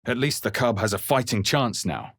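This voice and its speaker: A man's voice: medium pitch, expressive, moderate pace, a bit bright. A man voicing a wry, observant spell-casting adventurer in a fantasy video game, with an understated way of talking. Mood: musing. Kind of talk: video game character barks and spell incantations.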